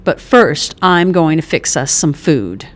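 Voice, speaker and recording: A woman reader voicing a brash, affectionate middle-aged mother just out of prison, loud, bossy and teasing, full of motherly endearments. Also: low-pitched, very animated, slow pace, heavily acted.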